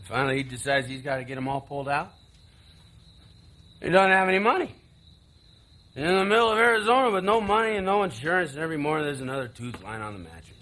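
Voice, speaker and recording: A high, mocking younger brother onstage. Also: male voice, quick pace, pauses often, bright, forceful tone, poor audio. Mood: bitter storytelling. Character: taunting, bitter younger brother, screenwriter gone mocking. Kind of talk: live stage play acting.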